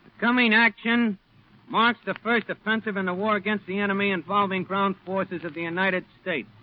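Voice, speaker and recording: Actor as gruff high-pitched Marine sergeant, old radio. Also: male voice, steady tone, moderate pace, lightly acted. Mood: solemn reading. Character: gruff, fatherly Marine sergeant looking after his men. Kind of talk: 1940s radio acting. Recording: muffled old radio broadcast recording.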